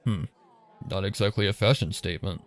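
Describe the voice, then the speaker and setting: A man voicing a caring young pony stallion with soft, low-key delivery in a fan fiction reading. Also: brisk, some chatter behind, close to an everyday voice. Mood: wry. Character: weary, tender young stallion, protective boyfriend.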